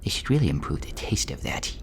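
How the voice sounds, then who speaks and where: A man voicing a meek, chatty dungeon servant with a hushed, throat-burnt rasp and a hesitant, self-effacing manner in an audio role-play. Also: brisk, in a whisper, natural voice. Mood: gossipy, hesitant.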